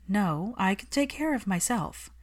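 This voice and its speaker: A woman voicing a guarded, self-reliant female character in a story reading, terse and even-tempered. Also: mid-range voice, unhurried, pitched above the performer's own voice.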